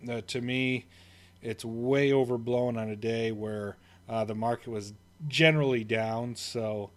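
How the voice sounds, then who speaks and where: A man talking casually through a market chart at a middling pitch, stopping and restarting sentences as he goes. His delivery is loose and unscripted, like a parent chatting about the family's portfolio. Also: steady tone, a little slow. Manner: loose and rambling, many fillers and restarts. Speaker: investing channel host. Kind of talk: casual stock commentary over screen recording.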